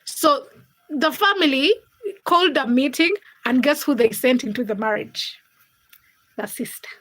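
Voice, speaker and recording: A woman's voice: high-pitched, a little slow, a bit bright, rough recording. A young woman from Uganda and Kenya hosting a casual livestream, reading out viewers' comments and teasing them by name. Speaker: livestream host.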